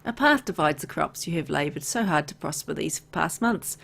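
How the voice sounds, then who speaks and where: Low, creaky-voiced woman narrating a game. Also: animated, normal speed, a bit bright. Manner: reacts playfully to the story with exclamations and asides. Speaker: video game let's player. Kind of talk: reading game narration aloud with casual asides.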